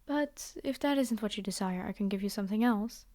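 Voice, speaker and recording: A woman's voice: mid-range voice, brisk, warm tone, lightly acted. A woman playing a commanding vampire girl in an ASMR roleplay, speaking directly to her human captive with condescending, teasing reassurance. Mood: businesslike. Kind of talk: scripted ASMR roleplay monologue.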